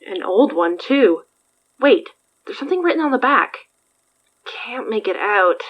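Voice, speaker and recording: A woman's voice: a little high, animated, unhurried. A woman acting in an audio drama as a wry, casual investigator and supportive friend, with slightly dull sound. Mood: curious. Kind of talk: audio fiction podcast acting.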